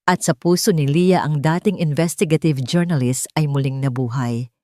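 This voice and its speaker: Female Filipino audiobook narrator, low and mellow, scripted dramatic storytelling, dry sound. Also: normal speed, dry recording.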